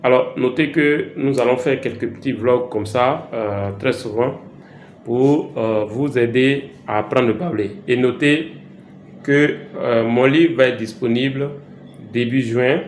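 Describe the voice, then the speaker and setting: Ivorian guy teaching words slow, lots of euh, roomy echo, bit of hiss. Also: medium pitch. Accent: Ivorian French. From Côte d'Ivoire. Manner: slow, repeats words for learners, frequent hesitations. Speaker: language teacher. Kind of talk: informal language lesson vlog. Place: travelling, recorded in an echoey room. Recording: echoey room, faint background hiss.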